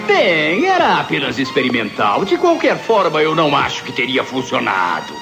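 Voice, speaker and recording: A man's voice: on the high side, wildly swooping pitch, moderate pace, gravelly, over music, heavily acted. A man playing a scheming, power-mad scientist villain in a Brazilian cartoon dub, theatrical, gloating and menacing. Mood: evasive. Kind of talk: dubbed cartoon villain acting.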